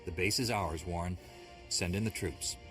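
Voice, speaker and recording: A low-voiced, stern Jedi Knight played by a male actor, blunt and measured as he gives orders. It is scripted video game acting, with music clearly playing behind the voice. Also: natural voice. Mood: businesslike.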